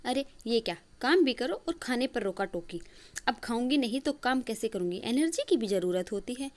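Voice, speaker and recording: Woman acting a high, whiny young daughter-in-law. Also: expressive, normal speed, darkish tone, close to an everyday voice. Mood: indignant complaining. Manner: complaining, indignant.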